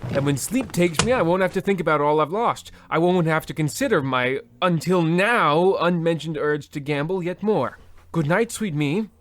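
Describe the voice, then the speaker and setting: A man's voice: fairly high pitch, lively intonation, moderate pace, fairly bright tone, slight background noise. An actor playing a pompous, overwrought husband half out of his wits, theatrical and florid, in a comedic old-time radio play. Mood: weary, grandiose.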